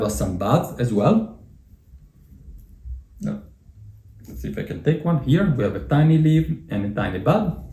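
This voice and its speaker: A man with an Italian accent hosting a tea tasting, talking in a relaxed, chatty way with frequent fillers. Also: medium pitch, reverberant. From Italy. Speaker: tea channel host.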